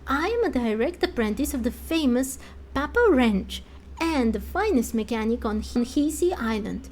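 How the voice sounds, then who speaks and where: A woman's voice: high-pitched, big pitch swings, moderate pace, darkish tone. A woman voicing a breezy, boastful young female mechanic from a fantasy game, talking glibly. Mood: defensive, proud. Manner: boastful, glib. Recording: music under the voice.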